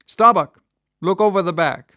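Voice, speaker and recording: A man voicing a stern, commanding old sea captain with a gruff, imperious manner and archaic speech in an audiobook. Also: sits high, moderate pace, bright, forceful tone, dry recording, studio quality, voice pushed up. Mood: brisk command.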